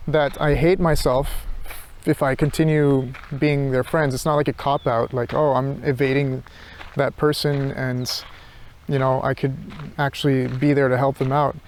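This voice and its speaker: A man's voice: mid-range voice, little pitch movement, a little slow, slight background noise. A man thinking aloud in an unscripted reflective monologue, hesitant and searching, often circling back over his phrases. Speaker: philosophy vlogger.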